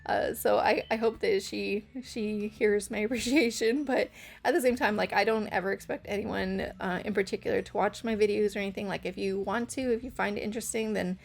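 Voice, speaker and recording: A young woman with a hoarse, scratchy voice, rambling through a casual gameplay voice-over with lots of fillers. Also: medium pitch, steady tone, brisk. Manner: rambling, hesitant, full of fillers. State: hoarse from karaoke. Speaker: channel host.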